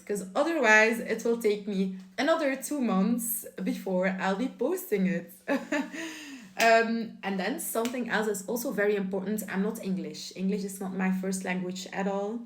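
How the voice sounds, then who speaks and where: A woman's voice: mid-range voice, roomy sound. Chatty, giggly Belgian woman on a phone. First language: Dutch. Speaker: vlogger. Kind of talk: casual chat to camera.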